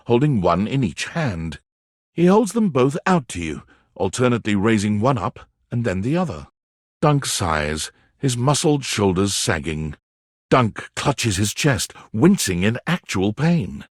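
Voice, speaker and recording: A man playing a wry, theatrical narrator of a playful dating game, telling scenes in the second person with expressive, dramatic delivery. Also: lowish voice, breathy edge, no echo, studio quality, full character voice. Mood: dramatic, amused, then gentle storytelling.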